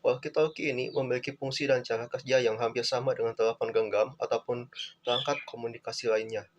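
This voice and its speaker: A young man, a student presenter, reading out a class presentation in a plain, measured way. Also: mid-range voice, steady tone, noisy background.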